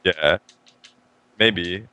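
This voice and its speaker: A young man hosting a live stream show, talking fast and upbeat about a fighting game and often restarting his sentences. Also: deep voice, pushed and bright, clear, resonant tone, no echo. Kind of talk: casual game commentary.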